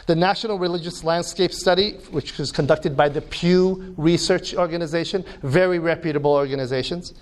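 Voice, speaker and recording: A man's voice: a little high, a little fast, few pauses. A man with a slightly foreign-tinged accent giving a live talk to an audience, emphatic and full of rhetorical questions. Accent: foreign-tinged English. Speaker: apologetics speaker.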